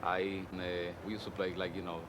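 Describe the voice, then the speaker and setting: A man's voice: sits low, monotone, moderate pace. Man, street noise behind. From Cuba. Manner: loose, casual, plenty of fillers. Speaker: interviewee, musician. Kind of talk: interview storytelling.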